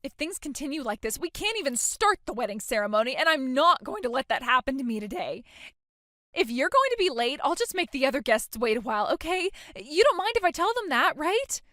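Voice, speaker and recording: A woman's voice: sits high, sing-song, brisk, a bit bright, no room sound, studio quality. A woman playing a self-centered young bride, whiny, oblivious and entitled, in a dramatized story. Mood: petulant, pleading. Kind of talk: dramatized phone-call story dialogue.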